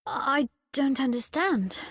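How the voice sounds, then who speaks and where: Sincere little boy king, game character, high voice, slow delivery, really dry recording. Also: animated, fairly bright tone, dry recording, exaggerated voice. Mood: puzzled, astonished. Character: young boy king, sincere, wide-eyed, tender. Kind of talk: video game voice acting.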